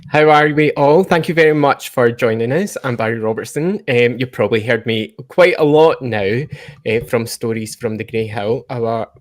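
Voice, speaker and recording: Podcast host, male, Scottish accent, medium pitch, casual chat delivery. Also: normal speed.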